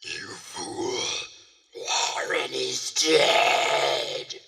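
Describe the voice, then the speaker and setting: A man playing a sinister inhuman tomb voice in a live horror radio drama, slow, menacing and rasping, in a reverberant theater. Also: medium pitch, big pitch swings, sharp tone, full character voice. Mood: cold menace. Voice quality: gravelly, hollow rasping whisper.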